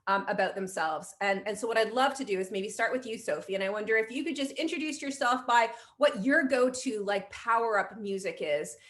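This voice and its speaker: A woman's voice: mid-range voice, lively intonation, brisk, few pauses, fairly bright tone. A woman hosting a panel over a video call, inviting guests to introduce themselves with encouraging remarks. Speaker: host, moderator. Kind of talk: moderating a panel.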